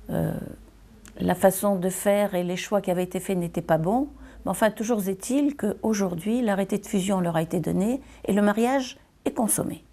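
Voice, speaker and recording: A woman giving a semi-improvised political statement as a local elected official, deliberate and hesitant, repeating phrases for emphasis. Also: mid-range voice, vocal fry, mellow.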